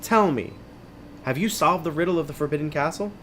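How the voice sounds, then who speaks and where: A man's voice: fairly high pitch, brisk, a bit bright, a little hiss or hum, voice pushed up, natural voice. A man voicing an earnest, stately English knight from a storybook, in a theatrical, declaiming way.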